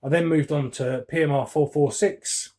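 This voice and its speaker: A man's voice: a little high, fairly even intonation, normal speed, a little mellow. A man in his forties with a London English accent, chatting casually and reminiscing in an informal, rambling way as a hobbyist vlogger. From West London, England. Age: 40s. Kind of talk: casual reminiscing chat while unboxing.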